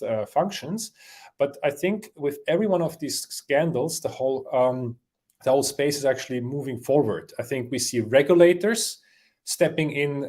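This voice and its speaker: A man from Switzerland answering interview questions, pausing with many fillers and restarts. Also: medium pitch, a little mellow. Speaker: interview guest.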